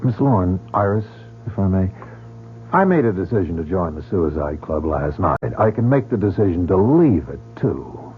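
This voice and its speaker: A man's voice: low-pitched, sing-song, moderate pace, slight background noise. A man playing an uneasy but defiant gambler in an old-time radio mystery. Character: uneasy, defiant male gambler new to the club. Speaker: radio drama actor. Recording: tinny old radio recording, humming.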